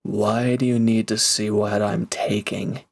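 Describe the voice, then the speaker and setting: A man playing a reformed villain turned mercenary in an intimate audio roleplay, speaking casually and haltingly, grateful and a little self-deprecating. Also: lowish voice, level tone, gravelly. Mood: reassuring. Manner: casual, hesitant, halting, sincere. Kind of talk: intimate audio roleplay drama, one-sided dialogue.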